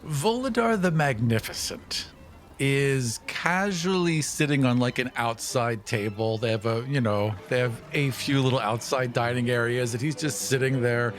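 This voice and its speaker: A man's voice: mid-range voice, normal speed. Male gamer, mid-range voice, loose improvised character storytelling, light music bed. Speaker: tabletop roleplay player. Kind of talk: casual improvised character narration.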